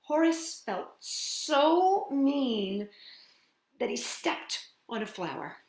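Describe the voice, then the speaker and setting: A woman hosting a children's storytime, reading and singing in a playful, expressive way with drawn-out words and chatty asides. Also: sits high, roomy sound. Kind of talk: animated picture-book read-aloud and children's singing.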